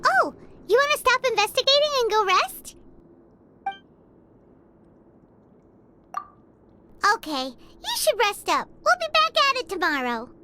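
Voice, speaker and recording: A woman voicing a small, excitable childlike fairy companion in a video game, chatty and squeaky. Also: moderate pace, very noisy. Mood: curious, eager. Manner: speaks of herself in the third person, animated. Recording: game audio with children's music behind.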